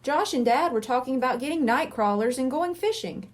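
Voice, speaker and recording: A woman reading a children's book aloud in an expressive narrating style. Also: high voice, fairly quick. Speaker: storybook reader.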